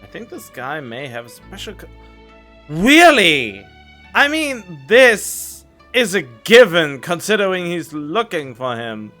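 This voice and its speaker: A man's voice: sits high, big pitch swings, takes it slowly, sharp tone. A man giving casual gameplay commentary as a streamer, musing aloud informally into a studio microphone. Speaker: gaming streamer. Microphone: studio condenser microphone. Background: game music.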